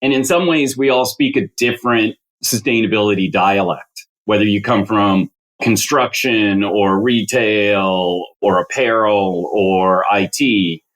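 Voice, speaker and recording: A man's voice: fairly low pitch, a little slow, fairly bright tone, poor audio. A man hosting a podcast panel conversation, reflective and enthusiastic as he hands the floor to guests. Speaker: podcast host.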